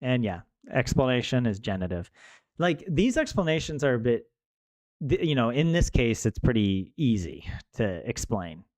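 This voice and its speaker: A man's voice: mid-range voice, big pitch swings, normal speed, darkish tone, no room sound. A young man chatting casually with viewers as he studies, joking and laughing with lots of rambling restarts. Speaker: language learner streaming to viewers. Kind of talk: casual chat with viewers while studying.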